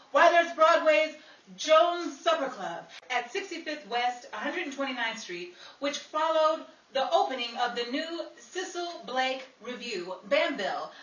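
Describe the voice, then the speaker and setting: A woman's voice: high voice, a bit bright, muffled recording. A woman playing a sassy, theatrical newswoman in a 1930s New York radio comedy, recorded in a roomy space off the mic. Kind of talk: 1930s radio comedy acting and scripted narration. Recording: roomy, distant mic with background hiss.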